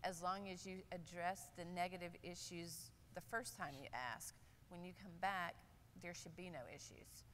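An older woman, a nurse speaking on a conference panel, candid and animated, with many fillers. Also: fairly low pitch, normal speed, in a large hall, slight background noise. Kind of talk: panel discussion. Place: large conference hall stage. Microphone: lapel.

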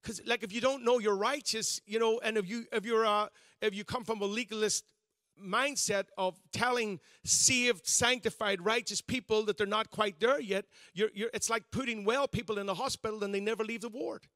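A pastor in his fifties preaches with a bright, lifted voice that sits high for a man. He talks to the congregation in a loose, conversational way, restarting his sentences and joking at his own expense. The sound is dry and close. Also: fairly even intonation, normal speed, no room sound. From Northern Ireland. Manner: conversational, self-deprecating preaching with frequent restarts. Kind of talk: sermon.

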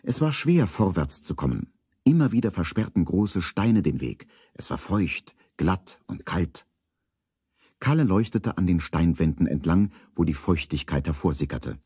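An actor narrating a German children's radio play as a storyteller, in scripted narration. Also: male voice, sits low, big pitch swings, a little slow, warm tone, husky, no room sound, studio quality, exaggerated voice. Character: calm storyteller of a children's detective tale.

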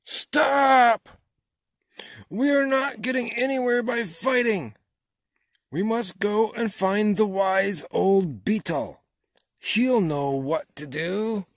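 A storyteller playing a serious, pompous chief frog who speaks with deliberate authority. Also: mid-range voice, expressive, a bit bright, breathy edge, dry recording, studio quality, voice pushed up, heavily acted. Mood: urgent.

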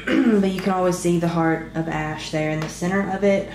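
A woman in her thirties, congested from a cold, casually showing her resin crafts at a home table with a relaxed, rambling manner full of ums. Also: lowish voice, moderate pace, roomy sound, a little hiss or hum. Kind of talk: casual show-and-tell narration.

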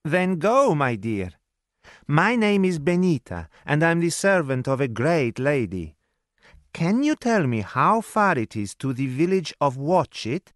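Man putting on a coaxing, high-pitched young maidservant. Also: very animated, unhurried, dry recording, studio quality. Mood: friendly. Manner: coaxing, inquisitive. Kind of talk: acted audiobook dialogue.

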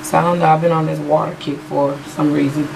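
A hoarse woman, chatting casually and rambling with many fillers as a beauty vlogger. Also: low-pitched, flat delivery, fast talker, background noise. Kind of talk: casual haul video chat. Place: small room at home, patterned backdrop. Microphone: webcam in front of her.

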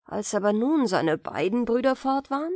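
A woman narrating a bedtime fairy tale in an expressive, deliberate storytelling manner. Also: a little high, dry recording, clean studio sound.

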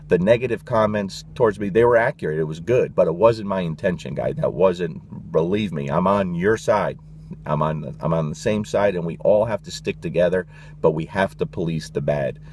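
A man's voice: deep voice, a little mellow, a little hiss or hum. A middle-aged man, a dog trainer, talking straight to camera in a passionate, blunt vlog rant, swearing casually and peppering his talk with "you know" and "guys".

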